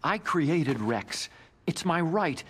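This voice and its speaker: Game role: idealistic nerdy engineer, lively intonation, earnest and a little defensive. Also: male voice, fairly high pitch, slow, a little hiss or hum, full character voice. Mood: determined. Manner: earnest, eager, slightly defensive. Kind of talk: dramatic video game voice acting.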